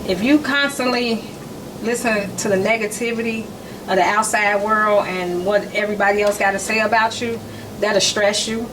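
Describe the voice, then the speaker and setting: A woman in her forties chatting casually as a podcast guest, talking about her work in short relaxed phrases. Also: medium pitch, normal speed. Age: 40s. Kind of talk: casual chat. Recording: noisy, distant mic.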